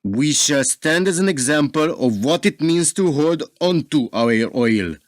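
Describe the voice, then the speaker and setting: A man's voice: a little high, lively intonation, a little slow, dry recording, clean studio sound. A man in a radio play acting a proud, defiant Russian ambassador, speaking in a grave, formal, emphatic way.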